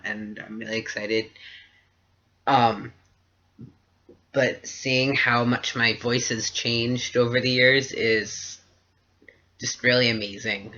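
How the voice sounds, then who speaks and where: Slow, flat-voiced young man, bright tone. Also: medium pitch. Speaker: vlogger. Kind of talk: personal video diary. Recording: dull lo-fi recording.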